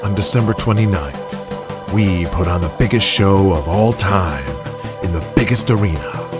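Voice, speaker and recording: A man's voice: deep voice, unhurried, music in the background. A man playing a domineering, vulgar, grandiose wrestling company boss in an audio drama, speaking in a commanding, scornful, emphatic way.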